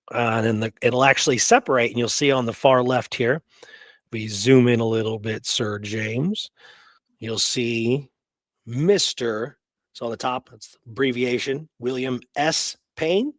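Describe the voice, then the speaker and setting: Male host, medium pitch, presenting research casually and thinking aloud, close dry recording. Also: unhurried, a bit bright, no echo.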